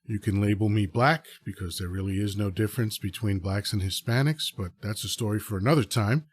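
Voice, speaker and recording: A man's voice: lowish voice, a little fast, slightly rough. A man of fifty-nine from the Dominican Republic, a podcast host musing conversationally in a reflective solo talk, often checking in with "right?".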